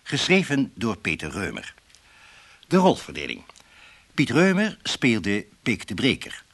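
A man reading radio drama credits as an announcer, in a measured, formal style. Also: on the low side, wildly swooping pitch, creaky, a bit bright, husky.

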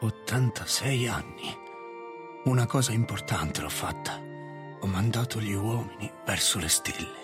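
A male actor as a very old, regretful patriarch in a radio play. His voice is low and he speaks haltingly, brooding and wistful. Music plays clearly behind him. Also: natural voice. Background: prominent music.

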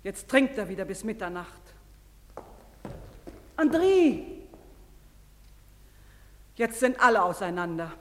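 A woman's voice: medium pitch, very animated, slow, long pauses. An actress playing a worried, reproachful wife and mother in a theatrical stage drama, with a pleading manner. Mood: reproachful, anguished. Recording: echoey, reverberant hall.

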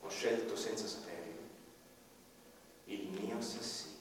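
A man giving slow, reflective first-person narration in a radio drama, sometimes dropping to a hush, with a violin playing behind him. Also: lowish voice, fairly even intonation, slight background noise. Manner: slow, reflective, hushed at times. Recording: distant, echoey hall with long reverb. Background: violin music.

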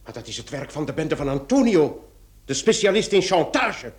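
An actor playing an earnest, excitable police commissioner in a radio play, exclaiming and repeating his words as he thinks. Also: on the high side, normal speed. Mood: baffled, impatient. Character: excitable, earnest male police commissioner piecing things together. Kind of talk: Dutch-language radio play acting.

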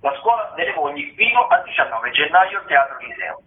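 A man's voice: fairly high pitch, very animated, a little fast. Man with a Roman accent reading theatre listings over a phone-like line. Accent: Roman Italian. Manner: chatty, with frequent hesitations and restarts. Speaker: radio theatre show host. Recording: phone-like roomy radio sound.

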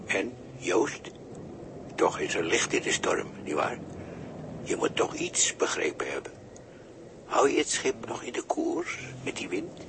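A man's voice: lowish voice, sing-song, unhurried, pauses often. A man acting a cryptic, mocking sailor who preaches philosophy, in a 1960s radio drama. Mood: taunting, preaching. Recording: noisy 1960s radio recording.

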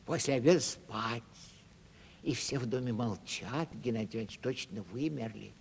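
An actor playing a hapless, excitable wandering comedian in a radio play, telling things in a plaintive, animated comic way. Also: male voice, medium pitch, normal speed, gravelly, slightly rough. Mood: mournful, mimicking. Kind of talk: 1970s theatrical radio play acting. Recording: reverberant radio drama with faint music.

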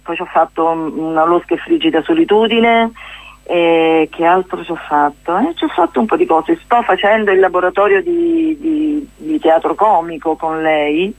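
A woman, chatting as a playwright-actress guest in a casual radio interview, often halting and rephrasing herself. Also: fairly low pitch, lively intonation, no room sound, poor audio. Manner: chatty, halting and self-correcting, with amused asides. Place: radio studio.

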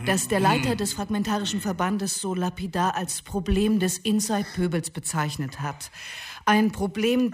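An actress playing an earnest, insistent opposition politician giving a formal parliamentary speech in a radio drama. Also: female voice, mid-range voice, natural voice. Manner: formal, rhetorical.

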